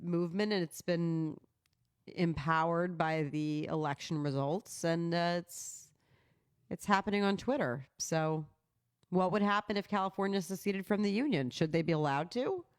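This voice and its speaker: A woman's voice: lowish voice, moderate pace, smooth. Playful woman chatting casually as co-host. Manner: playful, informal, profane, rambling. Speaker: talk show co-host. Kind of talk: casual chat and storytelling.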